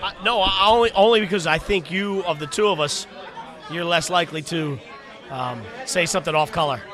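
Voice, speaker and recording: Quick teasing high-voiced man. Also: a crowd in the background. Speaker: podcast co-host. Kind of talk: casual chat.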